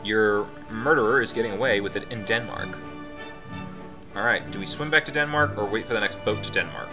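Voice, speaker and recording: A man's voice: on the low side, brisk, over music. A man hosting a let's play, reading the game text aloud with his own asides and chuckles.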